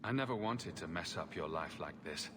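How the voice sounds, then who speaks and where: An actor playing a warm, wry hero and protective brother in a fantasy video game. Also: male voice, low voice, lively intonation, moderate pace. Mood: sincere. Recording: echoing space, noisy ambience.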